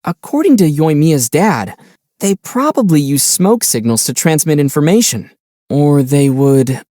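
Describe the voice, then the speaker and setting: A man playing a calm young adult traveler hero in a video game, with a playful, teasing and wry way of talking. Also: on the high side, unhurried, no echo, clean studio sound. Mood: calm, informative.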